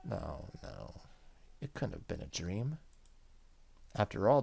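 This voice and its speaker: A man's voice: on the low side, creaky. A man hosting a gaming video, reading the story aloud and dropping in casual reactions.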